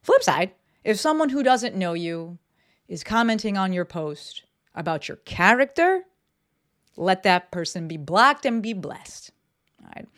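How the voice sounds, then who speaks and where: A woman's voice: medium pitch, big pitch swings, a little slow, a bit bright. Blunt, swearing podcast host, woman. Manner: blunt and profane, lots of fillers. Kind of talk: casual solo podcast talk. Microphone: desk microphone.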